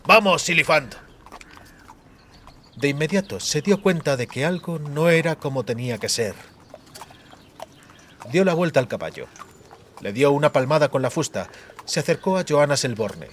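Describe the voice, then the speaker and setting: A man's voice: on the high side, expressive, normal speed, pauses often, a little hiss or hum. A man narrating a fantasy novel as a dramatized audiobook.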